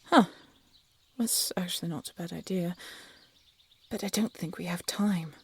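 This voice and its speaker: A woman playing a blunt, sarcastic young huntress, a soft-hearted failed assassin, in an audio roleplay drama. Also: lowish voice, unhurried, slightly rough, heavily acted. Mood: wry, grudging.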